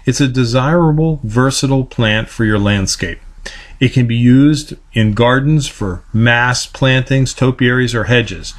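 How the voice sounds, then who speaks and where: A man narrating a voice-over, reading plant descriptions from a script in an even manner. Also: medium pitch, unhurried. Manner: even, read-aloud delivery.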